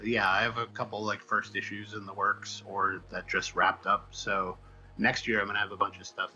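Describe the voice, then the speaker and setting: A man's voice: lowish voice, level tone, normal speed, bright, forceful tone, highs cut off. A man chatting casually as an interview guest, telling things in a rambling, wry, informal way. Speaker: guest comics writer.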